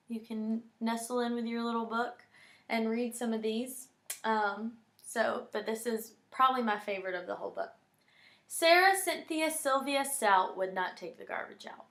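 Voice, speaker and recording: Bright-voiced woman reading to a child. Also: a little high, echoey room. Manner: affectionate, addressing a young child. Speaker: storyteller reading children's poems. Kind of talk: personal bedtime video message and expressive poem reading for a child.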